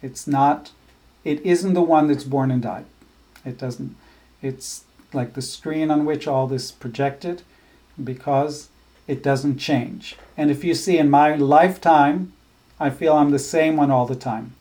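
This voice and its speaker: A man teaching a scripture class, explaining ideas in a thoughtful, halting way with frequent restarts. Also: on the high side, mellow. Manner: chants verses slowly. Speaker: spiritual teacher.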